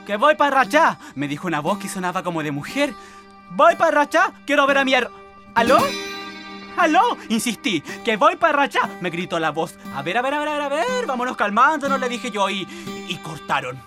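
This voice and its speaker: An actress playing an excitable, naive, scheming housemaid in a radio drama, with a Chilean accent and dramatic storytelling manner. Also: female voice, on the high side, normal speed, over music. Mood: excited.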